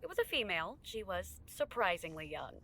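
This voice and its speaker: A woman acting in an audio drama as a poised tech research lead, speaking formally and in a measured, clinical way. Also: mid-range voice, sing-song, a bit bright. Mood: clinical, guarded.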